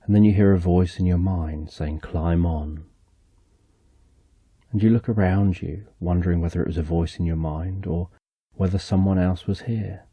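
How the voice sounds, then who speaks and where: A man narrating a slow, soothing guided relaxation story in the second person, speaking softly and gently. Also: deep voice, fairly even intonation, husky, dry recording, muffled recording.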